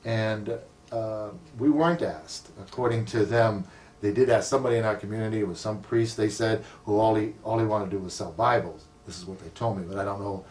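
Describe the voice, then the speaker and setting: A man's voice: lowish voice, a little mellow. Older man recalling his past, slightly distant. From United States. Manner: thoughtful, with frequent ums and self-corrections. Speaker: community association president. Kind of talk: recalled life story in an interview.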